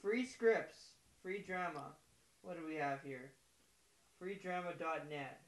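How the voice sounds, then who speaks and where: A man's voice: a little high, slow pace. A man hosting a podcast, bantering casually with friends in an echoey room. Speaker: podcast host. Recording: roomy, echoing room sound.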